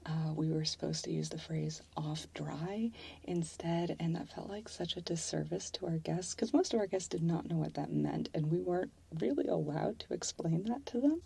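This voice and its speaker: A woman ASMR creator speaking gently and softly as she reads a sponsor message. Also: low-pitched, gravelly, slightly rough. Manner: gentle, hushed. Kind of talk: soft-spoken sponsor read.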